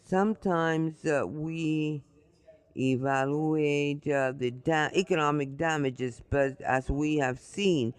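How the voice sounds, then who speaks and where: A man answering a question as a conference panelist, halting and full of "uh" fillers. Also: on the high side, clean tone, no room sound. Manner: slow and halting, with many uh fillers.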